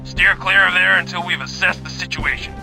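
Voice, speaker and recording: A man playing a businesslike military officer, giving a clipped, authoritative mission briefing in a gravelly voice. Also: high-pitched, fairly quick, loud music behind the voice, poor audio. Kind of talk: scripted game mission briefing.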